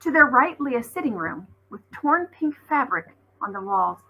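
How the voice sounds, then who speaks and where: A woman's voice: fairly high pitch, sing-song, moderate pace, creaky, slightly rough, muffled recording. A woman, a teacher reading aloud, narrating a children's story expressively.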